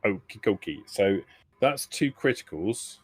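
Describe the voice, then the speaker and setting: A man's voice: on the low side, expressive, a little slow, slightly rough, no room sound. A man acting as game master in a tabletop roleplaying game, talking through the rules step by step in an easygoing way.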